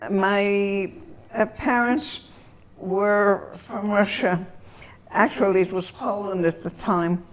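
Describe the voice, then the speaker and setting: An elderly woman from Antwerp whose first language is German, reminiscing in an interview and searching for her words with long pauses. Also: mid-range voice, steady tone, low-quality recording. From Antwerp, Belgium.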